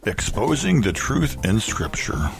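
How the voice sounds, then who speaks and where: Gravelly low male promo voice over music. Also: very animated, a little slow, low-quality recording. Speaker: podcast co-host. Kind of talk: scripted promo read with banter. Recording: music bed with guitar underneath.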